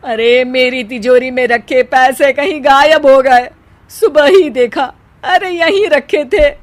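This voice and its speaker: A woman's voice: high voice, slow. A woman voicing a haughty, nagging older mother-in-law in a family cartoon, scornful and melodramatic. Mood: angry wailing. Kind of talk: Hindi family cartoon dubbing.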